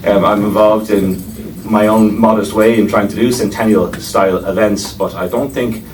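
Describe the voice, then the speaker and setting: A man's voice: on the low side, steady tone, moderate pace, a little mellow. An Irish man chairing a panel, introducing speakers with wry asides and many hesitations. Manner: wry, digressive, with frequent hesitations. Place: lecture room before an audience. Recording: distant mic, faint noise.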